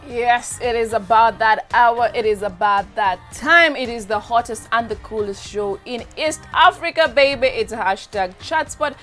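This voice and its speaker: A woman's voice: fairly high pitch, normal speed, hardly any pauses, fairly bright tone, soft background music. Chatty Kenyan woman TV host. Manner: chatty, hesitant restarts while storytelling. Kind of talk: casual studio chat. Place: bright TV studio set.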